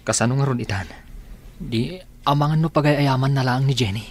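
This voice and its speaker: A man acting a concerned, questioning character in Ilocano radio drama, with a husky voice and an Ilocano accent. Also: mid-range voice, a little fast, lightly acted. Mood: dismayed.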